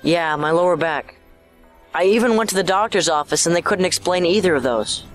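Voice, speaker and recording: A troubled schoolboy, voiced by a performer in an audio drama. He is scared and pleading, and his pitch jumps around over background music. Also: lively intonation, brisk, close to an everyday voice. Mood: uneasy.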